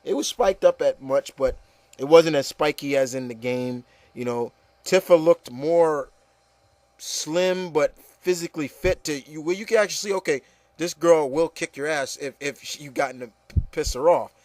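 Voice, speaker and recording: Man, slightly high voice, dry close sound, emphatic opinionated host, casual repetitive talk. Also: moderate pace, darkish tone, no room sound. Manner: emphatic, repetitive, peppered with "you know". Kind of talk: casual opinionated panel discussion.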